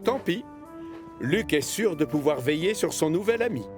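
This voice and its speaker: A man narrating a French children's cartoon like a storybook, expressive and dramatic in his storytelling. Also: a little high, normal speed. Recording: music behind.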